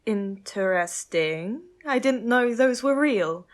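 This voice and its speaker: Posh fussy English lady, woman actor in a student audio play; affected, drawn-out, high and swooping. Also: animated. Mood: gracious. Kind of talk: student radio play acting.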